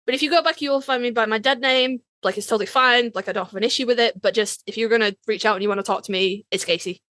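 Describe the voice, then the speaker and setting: A British woman with a bright, forward voice that sits fairly high. She co-hosts in a casual, sweary, informal way, and the sound is dry with no room on it. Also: fast, no room sound. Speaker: podcast co-host. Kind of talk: casual chat.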